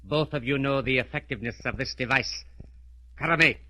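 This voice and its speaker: A criminal mastermind of old radio drama, played by a man, with a sharp, forceful voice. He is unhurried and precise, wrapping every command in cold, formal courtesy. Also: mid-range voice, close to an everyday voice. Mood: politely menacing. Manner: formal, precise, menacingly polite. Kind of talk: 1940s radio acting.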